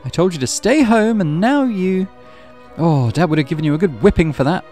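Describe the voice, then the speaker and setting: A man voicing a stern, weary mother with a sharp, exasperated, accusing manner in a visual novel. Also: a little high, expressive, fairly quick, faint music behind, voice pushed up, lightly acted. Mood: angry scolding.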